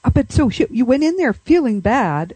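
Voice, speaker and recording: A woman's voice: high voice, sing-song, moderate pace, warm tone, slightly rough, dry recording. A woman hosting an interview, asking questions in an enthusiastic, encouraging way. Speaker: interviewer host. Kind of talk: conversational interview.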